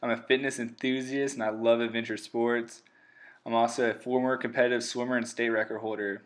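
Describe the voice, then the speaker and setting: Young man, 20s, steady flat intonation, earnest co-host speaking to viewers. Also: mid-range voice, fairly quick. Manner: earnest, upbeat, addresses viewers as guys. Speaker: channel co-host. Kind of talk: casual voice-over narration.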